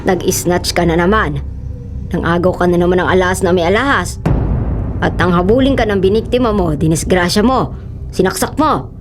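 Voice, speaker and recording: A woman's voice: lowish voice, moderate pace, noisy background, close to an everyday voice. A woman playing a weary middle-aged mother in a radio drama, scolding and pleading. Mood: reproachful. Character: weary, reproachful mother of a criminal son.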